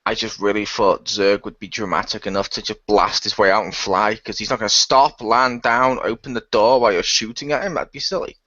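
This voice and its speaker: A man's voice: on the low side, fairly even intonation, brisk, few pauses, sharp tone, dry recording. A man who plays in an improvised radio play, chatting casually over an online voice call. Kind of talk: casual chat. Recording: dull, dry voice-call audio.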